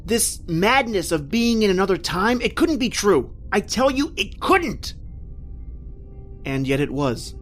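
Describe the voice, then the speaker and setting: An actor playing a distraught, disbelieving family man lost in the distant past, in a melodramatic, halting old-time radio horror style. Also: high-pitched, very animated, a bit bright, soft background music, heavily acted. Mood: desperate. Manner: melodramatic, halting, repetitive phrasing. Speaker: actor and first-person narrator in a horror radio play.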